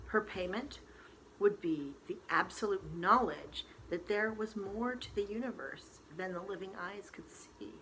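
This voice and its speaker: A woman storyteller reading a novel aloud in expressive, dramatic narration with deliberate emphasis. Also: mid-range voice, poor audio. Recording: faint background noise.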